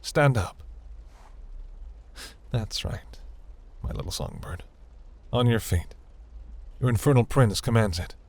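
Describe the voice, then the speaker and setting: A man voicing a seductive, imperious demon prince in a fantasy audio roleplay, velvety, teasing and grandiose, with a breathy, gravelly murmur. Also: mid-range voice, animated, slow pace, long pauses, a little mellow. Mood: commanding.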